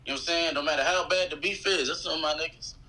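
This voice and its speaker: A man in his thirties with an American accent and a very bright, sharp voice, pitched a little high. He chats casually as a guest on a show. His talk is loose and slangy. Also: steady tone, fast, rough recording. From United States. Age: 30s. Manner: slangy, conversational. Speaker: guest, rapper. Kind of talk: casual interview chat.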